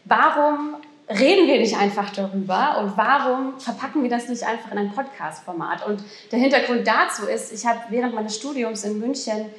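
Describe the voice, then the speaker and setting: A woman's voice: on the high side, normal speed. Chatty young woman in echoey room. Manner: chatty, laughs often. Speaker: podcast host, assistant director. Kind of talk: live stage talk. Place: theater restaurant, indoors.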